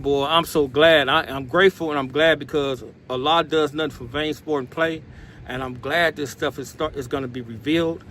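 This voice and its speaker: A man's voice: a little high, fairly bright tone. An American man talking off the cuff like a vlogger giving advice, streetwise and emphatic, full of slang and addressing listeners as bro. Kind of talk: off-the-cuff motivational talk to camera. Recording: outdoor urban noise, passing vehicles.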